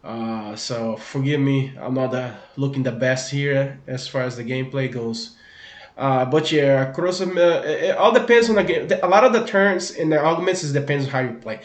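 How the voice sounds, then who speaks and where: A man with a thick Brazilian accent talks through a game guide at an easy pace, often stopping with "uh" and starting over. His pitch sits in the middle. The room adds a clear echo around his voice. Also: normal speed. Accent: Brazilian Portuguese. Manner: relaxed, many fillers and restarts. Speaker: gaming YouTuber. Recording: echoey room.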